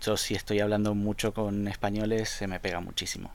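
A man's voice: lowish voice, level tone, fairly quick, vocal fry. A man in his forties with an Argentine accent blended with Spain's Spanish, hesitant and full of fillers as a podcast guest. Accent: Argentine Spanish, softened by years in Spain. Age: 40s. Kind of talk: podcast interview.

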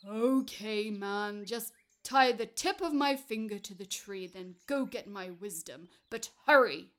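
Woman putting on a slow, growling, menacing giant. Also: lively intonation. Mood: gruff, impatient. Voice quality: growling, booming. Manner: slow, loud, demanding.

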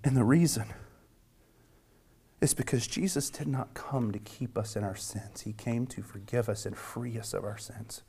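A man from the American South preaching a sermon with a Southern accent, earnest and emphatic, repeating phrases and dropping at times to a hush. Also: medium pitch, moderate pace, creaky, husky. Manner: swings from hushed near-whisper to loud emphatic preaching. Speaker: pastor.

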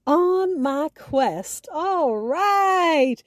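A woman podcast host addressing her listeners with expressive, deliberate enunciation as she makes sign-off announcements. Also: high-pitched, no echo, clean studio sound.

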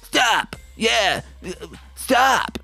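Man putting on a high-pitched husky kid. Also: big pitch swings, drawn-out words, bright, forceful tone, no room sound, soft background music, full character voice. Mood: comic commanding. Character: husky kid barking commands, comically high and strained.

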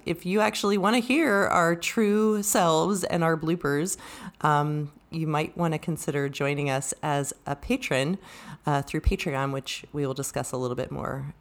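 Female podcast co-host, low-pitched, informal conversational delivery. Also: unhurried. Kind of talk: casual chat.

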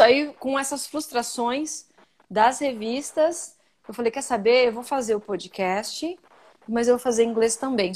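A Brazilian woman being interviewed on a live video call, telling stories in an animated, outspoken way. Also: fairly high pitch. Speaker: guest, coffee journalist and podcaster. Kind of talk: casual interview chat.

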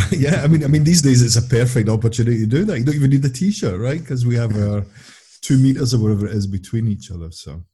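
Laid-back man with a very dark husky voice. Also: medium pitch, lively intonation, quick pace. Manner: laid-back, reflective, soft-spoken. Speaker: interview guest. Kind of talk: casual interview chat.

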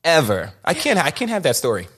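A man's voice: on the high side, wildly swooping pitch, a little fast, a bit bright, breathy edge. A young man hosting a podcast, telling his story in a candid, emphatic way and often restarting and repeating words. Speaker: podcast host.

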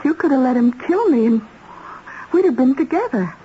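High-voiced, tender, distressed young woman. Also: expressive. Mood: tearful, tender. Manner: halting, emotional. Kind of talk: radio drama acting. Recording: muffled, noisy old radio recording.

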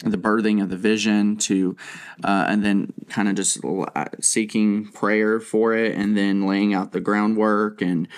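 A man hosting a casual church podcast in a fairly low voice. He talks in a chatty, rambling way and pokes fun at himself. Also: little pitch movement, a little slow, smooth. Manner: chatty, self-deprecating, rambling. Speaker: podcast host, children's ministry leader. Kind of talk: casual chat.

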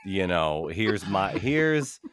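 A man's voice: low-pitched, sing-song, takes it slowly, noisy background. A man co-hosting a podcast in casual chat with a wry, offhand manner. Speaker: podcast co-host.

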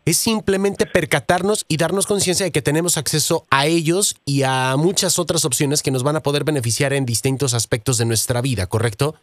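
Higher-pitched Mexican male radio host, dry sound. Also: brisk, hardly any pauses, dry recording. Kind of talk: conversational interviewing.

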